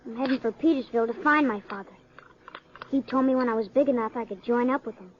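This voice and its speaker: A woman's voice: a little high, quick pace, muffled recording, a little hiss or hum, lightly acted. A woman playing an earnest, wary eleven-year-old runaway boy in a 1950s western radio drama, with an American accent and plain country talk.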